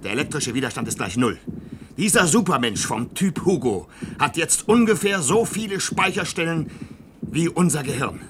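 A man's voice: fairly high pitch, big pitch swings, moderate pace. A man acting a proud, defiant inventor in a German science-fiction radio play, lecturing in a pedantic, impassioned way. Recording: very noisy old radio play recording.

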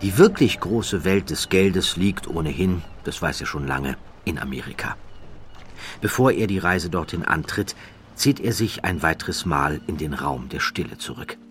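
Male narrator, very low register, deliberate dramatic storytelling, clearly audible background noise. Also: animated. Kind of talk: scripted documentary-style storytelling narration.

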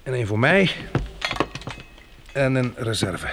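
Male for radio drama survivor: practical, commanding, instructive, mid-pitched, slight background noise. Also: very animated, unhurried. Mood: practical, assured. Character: resolute, practical man surviving a monster-plant catastrophe. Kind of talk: Dutch radio play acting.

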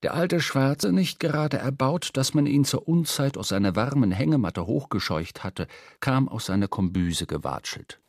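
A man narrating a literary sea tale for a radio drama, measured, with dramatic emphasis. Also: medium pitch, animated, dry recording, studio quality.

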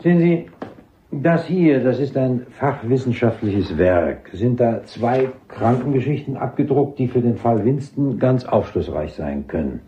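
An actor playing a brisk, authoritative army doctor in a German radio drama, speaking formally and didactically. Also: male voice, mid-range voice, animated, warm tone, husky, lo-fi sound. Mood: brisk, explanatory. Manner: didactic, formal, clipped military address. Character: brisk, authoritative male army psychiatrist with major's rank.

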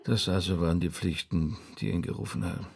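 A man's voice: low-pitched, steady tone, brisk, a little mellow, slightly rough. An actor in a radio play playing a polite, puzzled man who listens and asks hesitant questions. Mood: pensive, curious. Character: puzzled, courteous man drawing out a confession.

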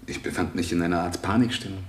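Actor as anxious low-voiced defendant in echoey room. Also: male voice, fairly even intonation, lightly acted. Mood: troubled, subdued. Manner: hesitant, halting, searching for words. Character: anxious American husband and father on trial for shooting. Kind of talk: radio play courtroom testimony. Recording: echoey room, 1970 radio play.

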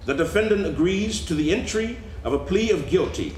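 Male reader, higher pitch, formal document read, roomy echo. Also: animated, normal speed, darkish tone, a little hiss or hum. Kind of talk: reading a legal document aloud. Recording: echoey room.

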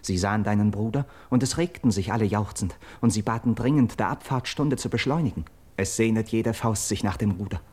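Mythic Greek nobleman, lowish male voice, urgent, persuasive, declamatory verse delivery, classical radio play. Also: darkish tone, slightly rough. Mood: rousing, eager. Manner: declamatory, elevated, measured stage diction. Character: urgent, persuasive young nobleman of Greek myth, loyal friend.

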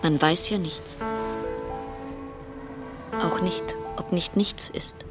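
An actress playing a haunted woman ship passenger in a literary radio drama monologue, lyrical and measured, repeating her phrases. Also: on the low side, fairly even intonation, over loud music. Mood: hushed, wistful. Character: haunted woman passenger recalling a deadly cruise ship voyage.

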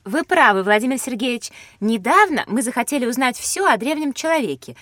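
High-voiced, polite inquisitive child. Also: female voice, lively intonation, fairly quick, a bit bright, no room sound, studio quality. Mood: eager, polite. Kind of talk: scripted children's educational audio drama.

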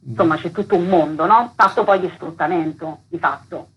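A woman's voice: on the low side, a little fast, husky, highs cut off. A woman explaining things earnestly and emphatically as an interview guest. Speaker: guest, theatre author and actress.

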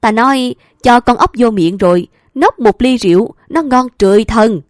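Woman playing a rowdy excitable peasant man. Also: a little high, no echo, clean studio sound, natural voice. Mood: eager. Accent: Southern Vietnamese. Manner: loud, fast and eager.

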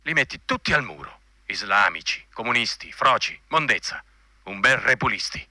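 Un generale brusco, voce tagliente. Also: male voice, mid-range voice, animated, moderate pace, pushed and bright, no room sound. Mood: brutal, scornful. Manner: blunt, commanding, insinuating. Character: bigoted, coarse male army general, menacingly chummy. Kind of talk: Italian radio drama acting.

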